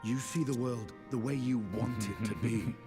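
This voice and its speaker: A man playing a stern, world-weary warrior in a fantasy game, speaking gravely and formally with measured delivery. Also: mid-range voice, close to an everyday voice. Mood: stern candour. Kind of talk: scripted fantasy game dialogue. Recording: long reverb, music underneath.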